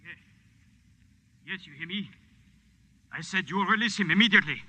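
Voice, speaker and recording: An actor in a 1940s radio drama playing a cornered, frightened villain forced to obey. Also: male voice, sits high, moderate pace, bright, forceful tone, full character voice. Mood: tense, forced.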